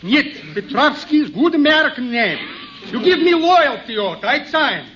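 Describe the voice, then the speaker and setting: An actor playing a shifty Russian tourist in old radio comedy, with a thick accent, clipped broken English and a blunt, deliberate manner. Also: male voice, extremely high pitch, very animated, pushed and bright, full character voice. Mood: bluntly insistent. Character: camera-happy Russian spy posing as an American tourist. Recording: very noisy, dull 1950s radio recording.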